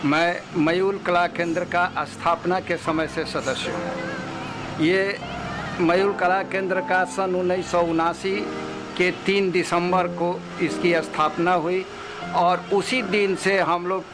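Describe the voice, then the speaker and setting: Slow, high-voiced man with Bihari accent. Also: fairly even intonation. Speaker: founding member of arts group. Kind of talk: tribute testimonial. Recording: music behind the voice.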